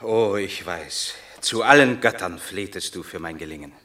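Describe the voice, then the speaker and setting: An actor playing a proud Roman war hero in a radio play, speaking verse tersely. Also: male voice, deep voice, lively intonation, normal speed, sharp tone. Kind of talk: classic radio play acting.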